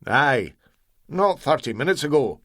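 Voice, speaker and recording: A man's voice: mid-range voice, very animated, a little slow, a bit bright, no echo, studio quality. A man voicing a feverish, cunning male ship's engineer with a broad Scottish accent. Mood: gruff. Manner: broad dialect, stubborn and sly.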